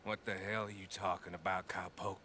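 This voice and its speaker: A man's voice: deep voice, level tone, a little fast, sharp tone. An actor voicing a treacherous male outlaw in a video game, taunting and mocking, in game audio with noise behind.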